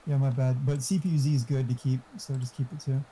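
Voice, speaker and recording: A man's voice: medium pitch, level tone, quick pace, dark tone, slight background noise, low-quality recording. A man chatting casually and giving tech advice in a blunt, explanatory, sweary way. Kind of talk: casual chat. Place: online voice chat.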